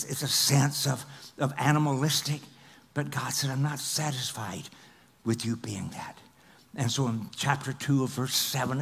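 A middle-aged man preaching a sermon on a large church stage into a headset mic, swinging from loud, excited passages to hushed whispers. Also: medium pitch, vocal fry. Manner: paces the stage and gestures. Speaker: pastor.

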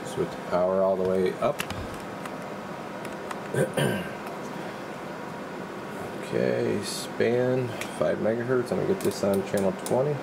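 A man calmly demonstrating and testing a radio in his workshop, talking in a relaxed, halting way as he works. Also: on the low side, vocal fry, noisy background. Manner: relaxed, halting, talks while working. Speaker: radio repair technician.

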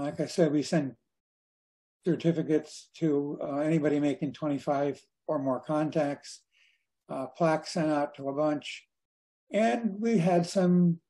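An older man giving an informal slide talk over a video call as a guest speaker, in a halting, conversational way. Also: fairly high pitch, dark tone. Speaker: guest speaker at a radio club.

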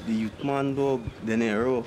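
Male actor as a relaxed Trinidadian street guy, street ambience audible. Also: medium pitch, normal speed, noisy background, natural voice. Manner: laid-back slang-filled talk. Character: young male street crew member.

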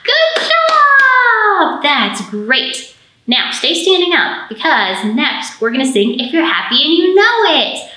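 Bright, high-pitched American woman hosting storytime. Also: slow pace. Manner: animated, addressing small children directly. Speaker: children's librarian and storytime host. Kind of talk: storytime presentation for young children. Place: indoor library storytime room. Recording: roomy, echoing indoor sound.

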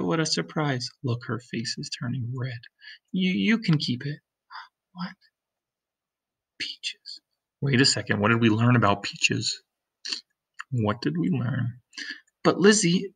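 A man's voice: gravelly, husky. A middle-aged man, a teacher, reading a graphic novel aloud to his students, doing the characters' voices and stopping to ask them questions. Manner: animated, shifts pitch for the characters' lines. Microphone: webcam on a computer screen recording.

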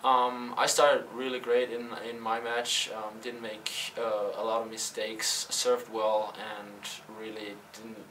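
A man's voice: lowish voice, completely flat delivery, slow pace, few pauses, sharp tone. A young male college tennis player answering post-game questions, hesitant, with many fillers and restarts. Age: young adult. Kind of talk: post-game press interview. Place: press backdrop room. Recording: slightly distant, faint room noise.

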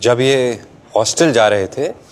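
Slow, heartfelt young man. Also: medium pitch, animated. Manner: slow, heartfelt, gently teasing. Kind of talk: scripted emotional ad monologue. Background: faint background music.

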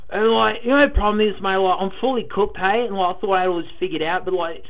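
A man playing an anxious young student, rambling with constant "mate"; slightly high voice, tinny recorder sound. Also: steady tone, fast talker. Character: stressed young male student rambling about exams. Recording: tinny lo-fi cheap pen recorder.

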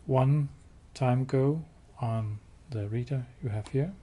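A man giving a hands-on product demo indoors, explaining step by step in a halting, matter-of-fact way. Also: medium pitch, warm tone. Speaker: product demonstrator. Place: indoors, showroom with wall-mounted devices. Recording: clear background noise.

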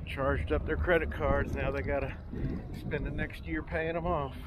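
A man talks in an easygoing, offhand way as he films a walk around an outdoor campground. His pitch is ordinary and his remarks are wry and relaxed. Loud outdoor ambience and wind noise sit heavily behind his voice. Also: moderate pace. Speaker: travel vlogger. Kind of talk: casual walk-around narration.

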